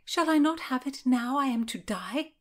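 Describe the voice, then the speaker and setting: A doomed young noblewoman of the Tudor court, put on by a woman narrator, pitched high and full of drama. She is willful and imperious, a storybook heroine who demands her way. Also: animated, normal speed.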